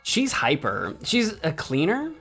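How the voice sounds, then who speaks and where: A man's voice: fairly high pitch, wildly swooping pitch, slow, gravelly, fairly bright tone, faint music behind. An American man casually thinking aloud while playing a game on stream, rambling, correcting himself and bantering with chat in a mock-indignant way. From United States. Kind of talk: casual gameplay commentary.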